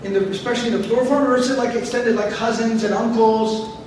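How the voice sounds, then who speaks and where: A man with a very high voice gives a lecture on scripture. He explains each word carefully and repeats phrases for emphasis, often drifting into tangents. He stands some distance from the mic in a very echoey room, with faint background noise. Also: fairly even intonation, quick pace, a little mellow. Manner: repetitive, explains word by word, digressive. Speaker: Quran teacher.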